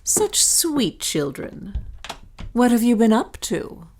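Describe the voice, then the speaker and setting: Slow, swooping, syrupy scheming governess. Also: female voice, on the high side, very animated, darkish tone, slight background noise. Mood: cloying. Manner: cooing, prim, syrupy. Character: scheming governess feigning sweetness toward her charges. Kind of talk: melodramatic audio drama acting.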